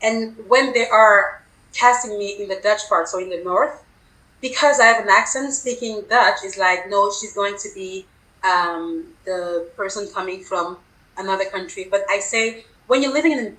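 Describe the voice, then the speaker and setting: A woman's voice: mid-range voice, normal speed, fairly bright tone, reverberant, poor audio. A woman with a French accent, a native French speaker, talking earnestly as an actress guest, her English carrying small slips. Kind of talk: casual conversational interview.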